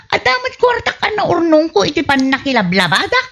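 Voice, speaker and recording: Woman acting excitable high-voiced Ilocano wife. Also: a little fast, full character voice. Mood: defensive. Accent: Filipino, Ilocano. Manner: exclaiming, animated. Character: excitable, bickering wife and mother, gossipy and scatterbrained. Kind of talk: Ilocano radio comedy drama acting.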